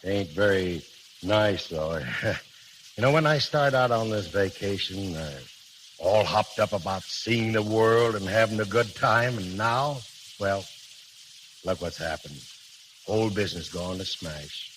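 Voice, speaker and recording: Flustered folksy american husband, middle aged, lowish voice, old hissy 30s radio show. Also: male voice, animated, measured pace, fairly bright tone. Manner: folksy, hesitant, bashful. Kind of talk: 1930s radio drama acting. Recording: hissy, crackling 1930s radio recording.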